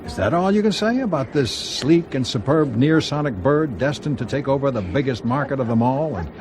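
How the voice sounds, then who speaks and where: An actor playing a proud aircraft company executive in a radio drama, speaking in a formal, rhetorical and insistent way. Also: male voice, mid-range voice, lively intonation, fairly quick, background noise, close to an everyday voice. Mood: boastful. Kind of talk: BBC radio drama acting.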